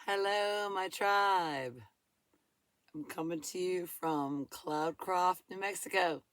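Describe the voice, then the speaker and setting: A woman in her fifties, hoarse from a cold, chatting casually in a rambling, folksy vlog from her van. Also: lowish voice, takes it slowly, fairly bright tone, no room sound. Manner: folksy, rambling, laughs often, says y'all. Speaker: van-dwelling vlogger.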